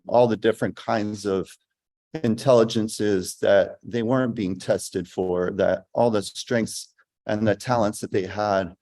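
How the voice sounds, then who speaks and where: A man with a fairly low voice speaks on a discussion panel as a school director. He talks very slowly, choosing his words and often restarting his sentences. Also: darkish tone. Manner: slow, thoughtful, restarts often.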